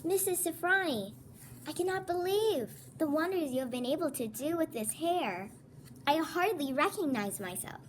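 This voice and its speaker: A girl of about ten playing an excitable, effusive lady customer in a school radio play. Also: sits high, normal speed, slight background noise. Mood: gushing. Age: child of about ten. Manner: effusive, exclaiming.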